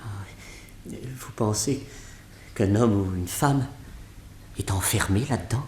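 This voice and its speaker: An actor playing a nervous, excitable male museum director in a radio drama, often exclaiming in worry. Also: lowish voice, measured pace, reverberant, a little hiss or hum, close to an everyday voice. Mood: worried.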